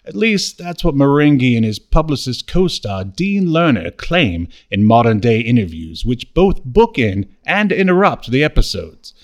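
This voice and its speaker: A man's voice: medium pitch, very animated, normal speed, few pauses, natural voice. A man playing a self-important horror author, reading a scripted synopsis in a pompous, emphatic manner. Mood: grandly pompous. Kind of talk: scripted narration.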